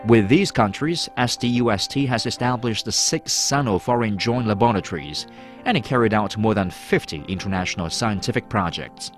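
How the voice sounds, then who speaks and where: A man reading a formal, measured promotional narration as a voice-over. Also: on the low side, lively intonation. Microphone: studio voice-over. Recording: voice-over over loud music. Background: music.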